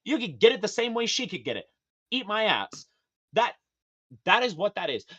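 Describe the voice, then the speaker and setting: A man with a high-pitched, slightly feminine voice, ranting hard on a casual podcast, emphatic and profane. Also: very animated, normal speed, a bit bright, slightly rough, dull audio. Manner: emphatic, profane, preachy rant with sudden high-pitched outbursts. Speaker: podcast guest.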